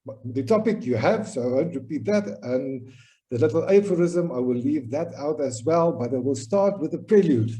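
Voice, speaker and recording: A South African-accented man lectures over a video call with a very dark, mellow tone. His pitch sits a little high. He speaks in a measured, wry academic manner. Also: expressive. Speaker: guest lecturer, professor.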